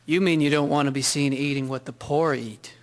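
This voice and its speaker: A man's voice: fairly high pitch, steady tone, fairly quick, natural voice. A man playing a frank, chatty teenage schoolboy in a 1920s audio drama. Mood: frank, teasing. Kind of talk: scripted audio drama acting.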